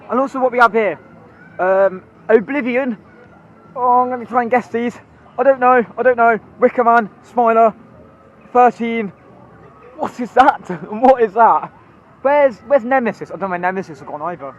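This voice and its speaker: A hoarse young man, chatty and rambling as he walks through a theme park with his phone. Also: very high-pitched, moderate pace, muffled recording. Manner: chatty, rambling, excitable, many ums. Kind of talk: walking vlog commentary.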